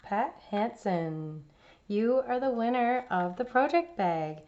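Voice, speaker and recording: Raspy-voiced woman hosting a crafting vlog. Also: fairly high pitch, lively intonation, slow, lo-fi sound. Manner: warm, rambling, many fillers and restarts. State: raspy from laryngitis. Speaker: cross-stitch channel host. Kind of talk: casual chatty crafting vlog.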